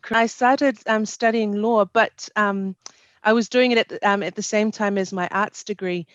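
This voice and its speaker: A young woman, a panel guest answering thoughtfully, with many pauses and ums, over a headset on a video call. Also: mid-range voice, fairly even intonation, fairly bright tone, no room sound. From New Zealand. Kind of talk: casual interview answers. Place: small indoor room. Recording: dry, dull video call audio.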